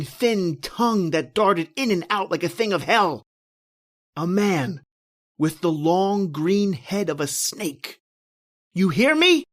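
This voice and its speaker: A woman playing a panicked, bewildered husband lost in a nightmare, with halting, theatrical delivery in an old-style horror radio drama. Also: fairly low pitch. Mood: horrified. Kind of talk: dramatic first-person horror radio narration and acting.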